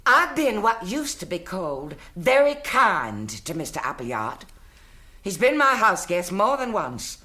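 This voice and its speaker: A woman's voice: low voice, sharp tone, exaggerated voice. An actress playing an imperious, easily outraged rich aristocratic mother in a British radio drama. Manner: haughty, commanding.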